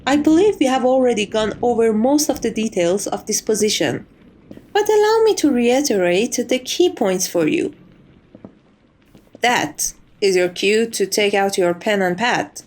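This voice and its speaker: Woman as a prim, higher-voiced chief maid. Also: animated, a little mellow. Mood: formal, welcoming. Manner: formal, measured, politely condescending. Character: prim, composed, strict but fond chief maid of vampire estate. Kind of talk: ASMR roleplay acting.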